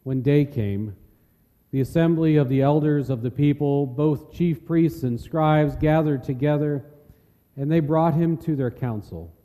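Very mellow male scripture narrator. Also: fairly high pitch. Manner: measured, solemn. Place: large reverberant church. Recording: long church hall reverb.

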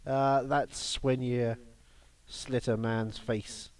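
A man's voice: mid-range voice, little pitch movement, very slow, smooth. A man co-hosting a comedy podcast in casual improvised chat, bantering and slipping into silly voices. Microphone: podcast microphone with headphones.

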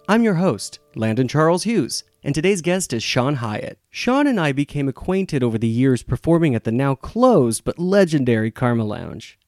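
A man's voice: medium pitch, a little mellow. A man hosting a podcast, chatting casually and laughing easily, recorded at home. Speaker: podcast host. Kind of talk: casual chat.

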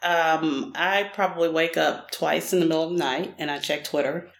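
A woman's voice: sits low, fairly even intonation, moderate pace, gravelly. Middle-aged woman, candid, in an echoey room. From Nashville, Tennessee. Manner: frequent fillers, thoughtful and candid. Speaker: guest. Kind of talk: casual interview answers. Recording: roomy echo.

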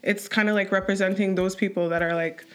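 Young woman, chatty, filler-heavy, independent musician, casual interview, mid pitch. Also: steady tone. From Nigerian background, raised abroad. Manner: chatty, many fillers, quick to laugh.

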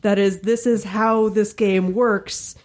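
A woman's voice: medium pitch, steady tone, takes it slowly, a little mellow. Woman thinking aloud on a podcast. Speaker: podcast co-host. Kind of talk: casual panel discussion.

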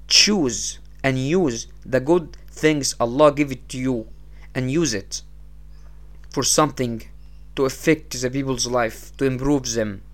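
Egyptian male podcast host, English, unhurried motivational talk, budget mic. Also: mid-range voice. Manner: unhurried, repeats phrases, non-native English. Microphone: small low-cost podcast setup.

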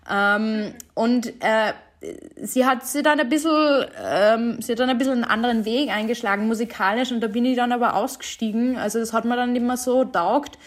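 A young woman, a singer-songwriter answering interview questions in a chatty way, with many fillers and frequent laughs. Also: mid-range voice.

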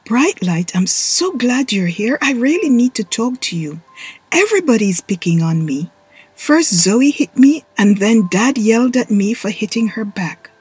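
A woman's voice: a little high, very animated, a little slow, a little mellow, slightly rough, no echo, exaggerated voice. A woman voicing a young boy in a storybook who whines and protests. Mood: complaining. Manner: whiny and indignant. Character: young boy, big brother, quick to sulk.

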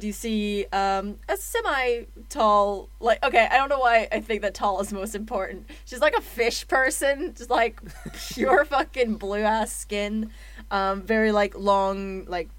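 A woman's voice: mid-range voice, unhurried. A woman playing a tabletop game, describing a character casually with many fillers and some swearing. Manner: hesitant, filler-heavy, swears. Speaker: player. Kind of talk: casual chat.

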